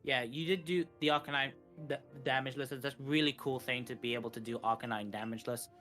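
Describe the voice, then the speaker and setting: A man's voice: medium pitch, fairly even intonation, fast talker, pushed and bright. A man giving live, explanatory gameplay commentary on a speedrun, often repeating and rephrasing as he goes. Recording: music behind the voice. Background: game music.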